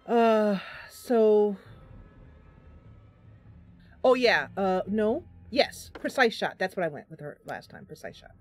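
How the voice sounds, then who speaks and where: A woman with a mid-pitched voice hosts a casual game stream. She thinks out loud as she works through her choices and chats to viewers. Soft music plays behind her. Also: animated, a little slow. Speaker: game streamer, host of the channel. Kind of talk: casual live gameplay commentary, chatting with viewers.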